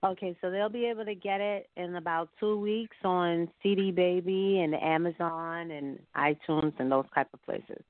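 A woman's voice: on the low side, moderate pace, hardly any pauses, no echo. A woman speaking casually as a musical guest in an interview. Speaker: singer being interviewed as guest. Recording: muffled phone-like line.

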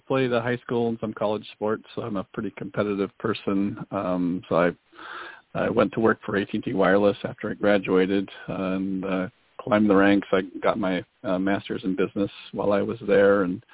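Interview guest, man from the Pacific Northwest, low gravelly voice, casual and relaxed, dry phone-line sound. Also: no room sound. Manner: relaxed, casual, with many "um" and "uh" fillers. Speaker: guest, business broker. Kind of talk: interview answers.

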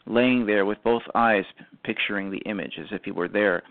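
A man reading scripted narration for a radio drama, halting and repeating phrases. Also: lowish voice, creaky. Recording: muffled phone-like audio.

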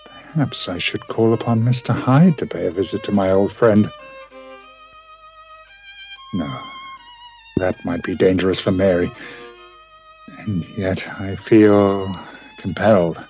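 A tormented Victorian scientist played by a male actor speaks in a low voice over string music. He narrates his journal with brooding drama, full of dread at his darker self, as in a radio play. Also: takes it slowly, long pauses, darkish tone, dull audio. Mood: dread, torn. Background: violin string music.

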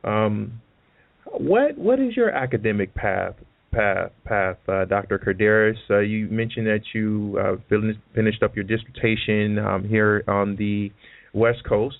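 A middle-aged man hosting a conversational interview, agreeable and halting, often repeating his words. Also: fairly low pitch, gravelly, no echo. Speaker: podcast host, student. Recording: dry, phone-like narrow band.

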